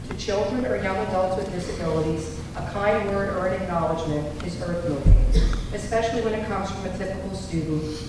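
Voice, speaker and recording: A woman school board member reading a prepared award citation and statement aloud into a handheld mic at a public board meeting. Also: fairly low pitch. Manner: measured, formal reading. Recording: echoey hall, distant mic, noisy.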